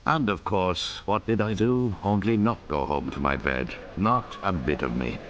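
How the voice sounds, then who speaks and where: Storyteller, extremely deep voice, wry first-person recollection. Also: male voice, measured pace, fairly bright tone, lo-fi sound, slight background noise. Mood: reflective. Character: man looking back on his own wild night. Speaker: narrator. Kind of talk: radio drama narration.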